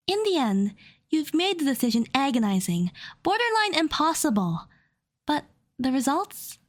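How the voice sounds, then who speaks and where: An actress playing a sharp-minded, defiant young woman arguing confidently and methodically in an audio drama trial. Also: sits high.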